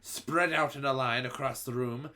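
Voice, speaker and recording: A man reading a storybook aloud, voicing the cruel female leader of the witches as she barks slow, menacing orders. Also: mid-range voice, fairly even intonation, sharp tone, lightly acted. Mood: commanding.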